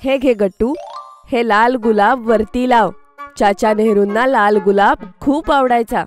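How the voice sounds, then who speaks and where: A woman plays an encouraging mother in a children's cartoon story. She explains things in a soothing way, with a fairly high voice. Soft music runs behind. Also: unhurried, close to an everyday voice. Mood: gently explaining. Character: gentle encouraging mother of a young boy.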